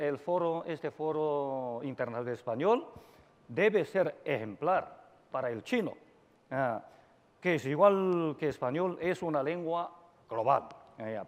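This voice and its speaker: Slow-speaking man, native Chinese speaker, echoey hall. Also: on the high side. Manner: slow and deliberate, with many filler sounds and restarts. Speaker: invited speaker at a language forum. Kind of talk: formal conference talk. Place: large lecture hall. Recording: dull echoey hall recording.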